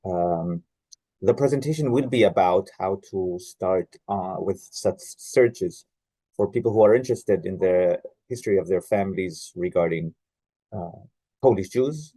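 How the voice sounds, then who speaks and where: A man's voice: lowish voice, dark tone, no echo. Hesitant Israeli-born man presenting online. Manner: hesitant, many "uh" fillers and restarts. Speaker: genealogy researcher presenting a webinar. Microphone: video call.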